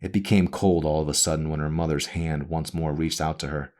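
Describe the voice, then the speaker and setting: A man reading a novel aloud as its author, in a brooding, dramatic narration style with deliberate phrasing. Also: very deep voice, steady tone, darkish tone.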